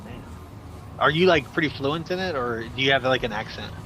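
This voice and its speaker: A man's voice: mid-range voice, expressive, fairly quick, bright, forceful tone. A young man streaming outdoors, chatting in a teasing, joking way, laughing often and restarting his words. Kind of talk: joking casual chat. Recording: noisy outdoor street recording.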